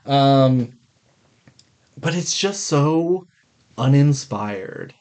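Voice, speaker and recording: A man's voice: mid-range voice, animated, drawn-out words, darkish tone, clear, resonant tone. A man co-hosting a podcast, chatting casually about films in a blunt, sweary way. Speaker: podcast co-host. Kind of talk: casual film review chat.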